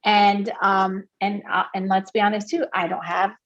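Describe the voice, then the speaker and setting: A woman's voice: medium pitch, flat delivery, normal speed, fairly bright tone, muffled recording. A woman from Puerto Rico, a guest in an interview, talking earnestly with many restarts and fillers. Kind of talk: candid interview conversation.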